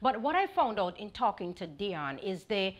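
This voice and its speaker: A woman's voice: medium pitch, sing-song, moderate pace. A Jamaican woman hosting a culture show, speaking to her audience in an exuberant, theatrical way with sing-song emphasis. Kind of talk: TV presenting to audience.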